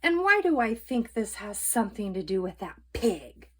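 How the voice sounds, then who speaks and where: A woman's voice: mid-range voice, big pitch swings, normal speed. A woman voicing a stern, disapproving, fussy older spinster with a pompous, formal way of talking, for a children's storybook. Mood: indignant, demanding.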